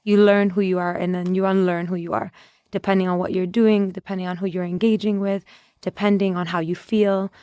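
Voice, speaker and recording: A woman's voice: medium pitch, little pitch movement, a little fast, mellow, clear, resonant tone, no room sound. A woman from Ethiopia, a guest on a podcast, speaking with very little rise and fall in her voice. Her recording is dry and close. She answers reflectively, often breaking off and restarting words as she searches for the right phrasing. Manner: thoughtful, with frequent restarts while searching for words. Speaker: conservation adviser, podcast guest.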